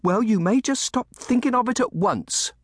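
A man's voice: on the high side, very animated, a little slow, no room sound, clean studio sound, voice pushed up. A man voicing a devoted young maidservant in a storybook, fussing over her charge in a motherly, anxious way. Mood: alarmed scolding. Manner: anxious, motherly scolding and soothing. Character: devoted, fussing young maidservant woman of humble cottage family.

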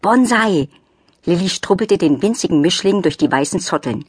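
A woman narrates a children's audiobook from a script. Her voice is fairly low and slightly husky, recorded dry and close with no room sound. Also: fairly quick, a little mellow, no room sound.

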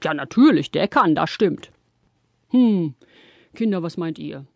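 Old Father Christmas, kindly and flustered, very animated delivery, dry studio sound, children's audio drama. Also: fairly high pitch, vocal fry, dry recording, muffled recording, exaggerated voice. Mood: thoughtful. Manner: hesitant, puzzled, drawn-out exclamations. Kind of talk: children's audio play acting.

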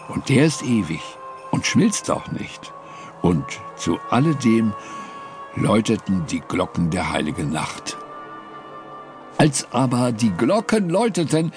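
A man narrating a fairy tale in a calm, storytelling audiobook reading. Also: on the low side. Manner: slow, lively storytelling with gravelly tone. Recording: studio read with clear music bed. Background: music.

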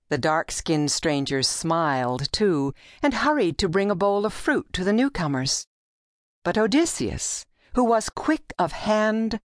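A woman reads a literary tale as an audiobook narrator. Her voice sits a little low and she takes her time, phrasing each line deliberately. The studio sound is dry and close. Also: dry recording. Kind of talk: scripted literary storytelling narration.

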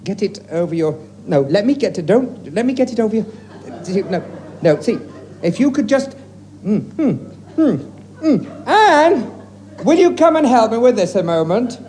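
A man's voice: high-pitched, big pitch swings, a little slow, darkish tone. A man playing a fussy, falsely polite female dress shop assistant in a hesitant, deferential manner in a 1950s radio sketch. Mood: flustered, straining. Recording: noisy vintage radio recording, audience.

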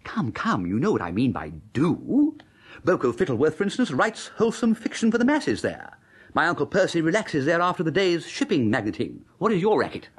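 Affable upper-class English young gent, radio comedy. Wildly animated pitch, slightly husky, jaunty, easily flustered, fond of florid slang. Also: male voice, brisk. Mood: breezy, inquisitive. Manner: bumbling politeness, breezy period slang, florid phrasing. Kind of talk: radio comedy acting and first-person narration.

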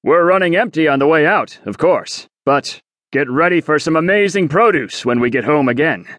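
A cocky young sailor hero, as a male narrator plays him, breezy and teasing with a bouncy, lively lilt. He sits a little higher than the reader's own voice, and the sound is dry and close. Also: normal speed, dry recording. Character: cheeky male sailor adventurer, cocky and jovial.

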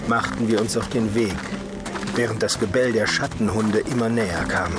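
An actor playing a grave, determined dark elf hero who narrates a German fantasy audio drama in a dramatic scripted style. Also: male voice, fairly low pitch, fairly even intonation, moderate pace, loud music behind the voice, lightly acted. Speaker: narrator and lead character.

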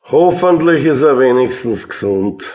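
An actor playing a resigned Austrian police detective, deadpan and sardonic, in a comic radio play. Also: male voice, mid-range voice, unhurried, natural voice.

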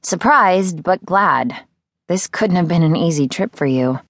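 An earnest, warm-hearted young heroine, acted by a woman narrator in an audiobook. The pitch rises above plain narration, and the recording is close and dry. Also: expressive, measured pace, no echo. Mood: moved, businesslike. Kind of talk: audiobook character dialogue.

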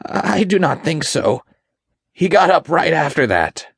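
Cowering impure spirit, pitched way up, slow, creaky, timid and servile, audiobook villain's minion. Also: male voice, dry recording, studio quality, heavily acted. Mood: servile, uneasy. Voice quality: raspy, creaky, breathy, high-pitched. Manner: timid, halting, whimpering, slow. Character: groveling, frightened male impure spirit, servile demon underling. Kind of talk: dramatic audiobook character voice.

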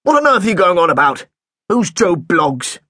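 A man voicing an irritable male school headteacher who snaps and accuses, with a husky voice. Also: high voice, very animated, moderate pace, pushed and bright, no echo, clean studio sound, pitched above the performer's own voice, heavily acted. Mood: exasperated. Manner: snapping, impatient, accusing.

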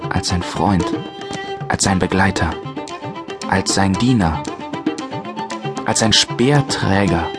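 An actor playing a loyal, humble young friend in a literary radio drama, earnest and resolute. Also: male voice, low-pitched, slow pace, long pauses. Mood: devoted, resolute. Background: music.

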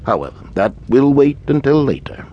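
An actor playing a scholarly, secretive occultist in a radio drama, speaking in a formal, erudite, measured way. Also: male voice, medium pitch, very animated, lo-fi sound. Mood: scholarly, intent. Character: scholarly, secretive male occultist, nervous employer.

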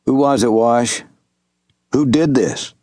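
Brief: man voicing a young man back home, grieving, urgent questions, measured storybook read. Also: medium pitch, darkish tone, dry recording, clean studio sound, natural voice. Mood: anxious.

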